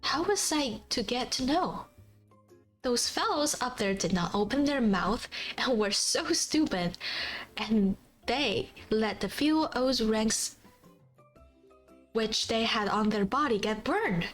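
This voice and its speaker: A woman's voice: a little high, animated, slow. A woman voicing a naive, earnest young man in a fairy tale, with a plaintive manner. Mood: dismissive. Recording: faint background music.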